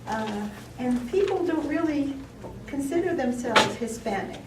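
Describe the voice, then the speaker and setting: A woman's voice: high voice, animated, unhurried, very noisy. Woman moderating in an echoey room. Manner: chatty, with fillers and laughs. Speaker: discussion moderator. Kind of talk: panel discussion. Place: meeting room with an audience. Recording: echoey room, far from the mic.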